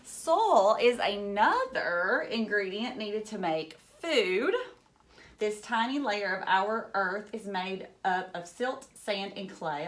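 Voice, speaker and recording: A woman reads a children's book aloud at a slow, unhurried pace, in a mid-range voice. She sounds like a teacher, full of wonder, explaining things simply and chatting to young listeners as she goes. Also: mid-range voice, a bit bright. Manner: enthusiastic, marveling, explains simply with questions to listeners.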